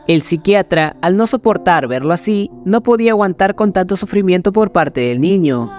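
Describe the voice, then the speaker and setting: A man with a fairly high voice narrates scary stories from a script. He delivers them as dramatic storytelling, with faint music running underneath. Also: darkish tone.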